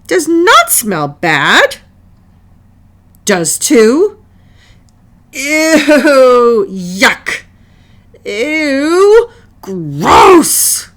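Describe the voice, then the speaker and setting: A sensitive, earnest little girl from a picture book, acted by a woman reader. Her voice sits high and swings expressively, with words stretched out slowly. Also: huge pitch swings, fairly bright tone, full character voice. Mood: scowling, disgusted. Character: Lily, young schoolgirl, sensitive, earnest, easily offended. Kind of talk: animated picture-book character reading.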